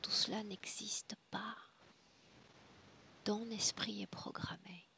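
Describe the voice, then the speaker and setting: A woman guiding a trance session in a solemn, deliberate, commanding way, often dropping to a breathy hush. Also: mid-range voice, steady tone, whispered. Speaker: spiritual hypnosis session guide. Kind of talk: guided trance session, slow solemn address.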